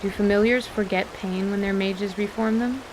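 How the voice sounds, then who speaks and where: A woman reading scripted story narration for an audio drama in a measured, articulate way. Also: medium pitch, steady tone, noisy background.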